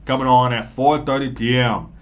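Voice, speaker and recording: Man, mid-pitched voice, sniffly stuffy nose, casual live hockey podcast host, rambling, repetitive, lots of fillers. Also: steady tone, moderate pace. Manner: rambling, repeats himself, frequent fillers and restarts. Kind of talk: casual live sports analysis.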